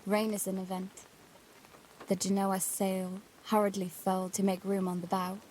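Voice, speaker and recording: A woman's voice: mid-range voice, completely flat delivery, moderate pace, darkish tone, slight background noise, close to an everyday voice, low-quality recording. A young woman playing an earnest, restless daughter narrating life aboard a boat in an intimate, confiding audio drama style. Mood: reflective, confiding.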